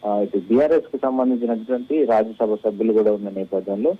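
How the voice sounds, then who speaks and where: Over a thin, tinny phone connection, a man gives an unscripted live news report. His voice is husky and a little low, and he speaks haltingly, repeating phrases and dropping in English words. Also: fairly even intonation. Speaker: news correspondent. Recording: tinny narrow-band phone line.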